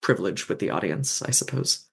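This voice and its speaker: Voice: sits low, expressive, a little fast, creaky, breathy edge. A podcast guest, a fan-fiction author, talking casually and thoughtfully, with many restarts and "um"s. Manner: thoughtful, rambling with restarts and fillers.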